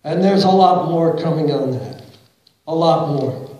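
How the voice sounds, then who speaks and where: Middle-aged man, higher than usual voice, public commenter at a podium, confrontational, booming echo of a large hall. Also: a little slow, mellow. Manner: pointed, confrontational questioning. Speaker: public commenter at a council meeting. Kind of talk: public comment, reading quotes aloud. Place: large council chamber, indoors. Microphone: podium mic, recorded by a far camera in the audience. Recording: very echoey hall from far off.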